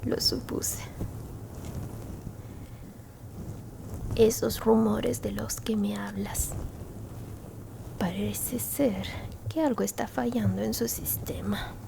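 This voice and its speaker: A woman voicing a gentle, bookish, elderly alien grandmother in a whispered ASMR roleplay, soothing and tender, with a breathy, creaky voice. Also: medium pitch, fairly even intonation, background noise. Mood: thoughtful. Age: older. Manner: slow, soothing whispering with soft murmured hums and pauses. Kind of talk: Spanish-language ASMR roleplay, mostly whispered.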